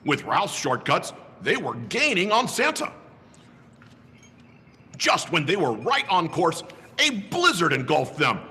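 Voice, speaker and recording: Santa storyteller for children, unhurried and bright, expressive reading. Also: male voice, husky, in a large hall. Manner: slow, highly expressive.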